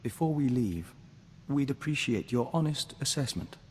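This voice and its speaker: An actor playing a serene, courteous hive-bonded diplomat in a video game, speaking in a measured, formal way. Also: male voice, medium pitch, expressive, in a large hall, slight background noise, natural voice. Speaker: companion character in a video game. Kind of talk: scripted game dialogue.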